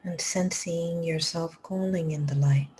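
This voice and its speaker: A woman meditation teacher leading a live guided meditation, speaking slow, soft instructions that often drop to a whisper. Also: sits low, steady tone, a little hiss or hum. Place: live group session.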